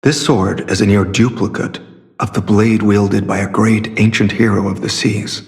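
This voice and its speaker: A secretive masked hunter from a video game, with a fairly low voice and a creaky edge. He speaks formally and gravely, choosing his words with earnest care. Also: level tone. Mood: admiring, earnest. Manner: measured, formal, solemn. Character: secretive, earnest masked warrior hunting corrupt alchemists. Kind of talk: scripted game character dialogue.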